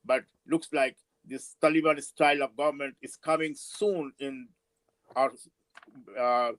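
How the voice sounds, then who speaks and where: A man in his seventies with a Bangladeshi accent and Bengali as his first language, giving a political speech with personal recollections in a halting, emphatic way. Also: mid-range voice, steady tone, a bit bright, no echo, poor audio. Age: 70s. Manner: halting, emphatic, with drawn-out words. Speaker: panel speaker at a forum.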